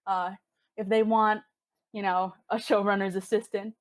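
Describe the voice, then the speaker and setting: A woman's voice: mid-range voice, level tone, normal speed. A woman in her twenties from Hong Kong, chatting with enthusiasm as a podcast guest, with plenty of fillers. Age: 20s. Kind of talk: casual interview chat.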